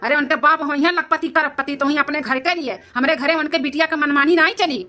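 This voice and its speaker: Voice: sits high, steady tone, fast talker, sharp tone. A performer voicing a hot-headed, blustering adult son, protective of his mother, in an Awadhi comedy cartoon, with an indignant manner and an Awadhi accent.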